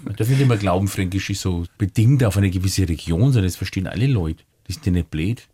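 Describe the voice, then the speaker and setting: A cheerful Franconian cabaret artist in his fifties talks with a quick, husky delivery. His Franconian German accent colors every phrase, and the sound is close and dry with no room around it. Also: deep voice, warm tone, dry recording. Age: 50s. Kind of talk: relaxed interview chat.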